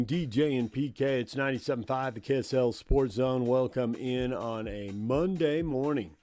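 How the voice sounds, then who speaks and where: Bright, dry-sounding American sports radio host. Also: male voice, mid-range voice, dry recording. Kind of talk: sports radio commentary and press questions.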